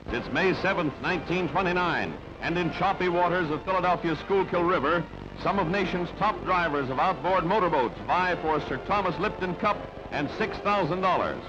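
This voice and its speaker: A man's voice: high-pitched, expressive, moderate pace. A man reads scripted newsreel narration in clipped, dramatic announcer phrases. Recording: dull band-limited newsreel audio, loud music. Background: loud music.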